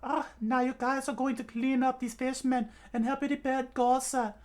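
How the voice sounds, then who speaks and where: Dude putting on a high girly voice for a bossy young navigator, way up in pitch. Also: little pitch movement, normal speed. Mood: commanding. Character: fiery young woman navigator.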